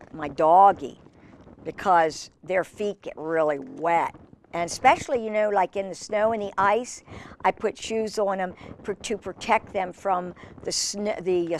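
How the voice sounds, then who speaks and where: A woman hosting children's storytime, reading aloud and chatting in an expressive, gushing manner with fond asides. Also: mid-range voice, slight background noise. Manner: gushing, expressive, exclaiming over pictures.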